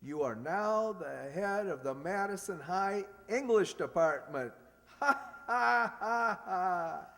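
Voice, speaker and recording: A man's voice: high voice, animated, takes it slowly, vocal fry, a bit bright, full character voice. A man playing a pompous, blustering school principal in an old-time radio sitcom, with a theatrical delivery. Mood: forced jolly. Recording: long hall reverb.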